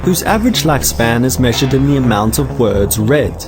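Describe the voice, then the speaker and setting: A man narrating as a deadpan galactic guidebook in a scripted sci-fi comedy, measured and explanatory. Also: medium pitch, over music, lightly acted.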